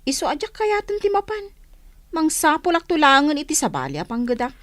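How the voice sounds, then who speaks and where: Animated, frank young confidante, Ilocano accent, high lively voice, radio drama, female actor. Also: a little fast, no room sound, studio quality. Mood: fed up. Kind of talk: radio comedy-drama acting.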